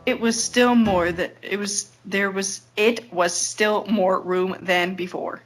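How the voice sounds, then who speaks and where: A woman's voice: medium pitch, steady tone, slow pace, rough recording. Giggly woman reading aloud over guitar music. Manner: giggly, breaks off into exasperated asides. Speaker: podcast host reading fan fiction aloud. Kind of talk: informal read-aloud narration with banter. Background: plucked guitar music.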